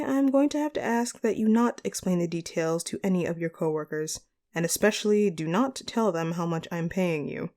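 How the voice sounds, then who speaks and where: A woman performing a composed, courteous female supervillain boss in a scripted audio roleplay, speaking to the listener in formal, measured phrases. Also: fairly low pitch, warm tone, close to an everyday voice. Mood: mildly exasperated, businesslike.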